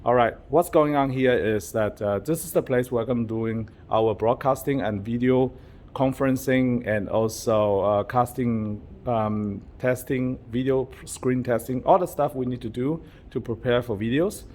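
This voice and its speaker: A man's voice: medium pitch, moderate pace, a little mellow. Rambling man vlogging to camera. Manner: playful mock-secretive, rambling with fillers. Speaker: YouTuber vlogger. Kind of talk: casual vlog to camera. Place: public venue with outside view.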